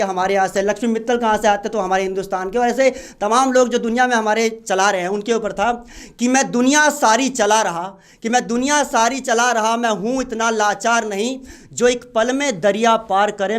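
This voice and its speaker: Male open-mic poet with a very high voice, declaiming verse and repeating key lines. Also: clear, resonant tone. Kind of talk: patriotic poetry recitation at an open mic.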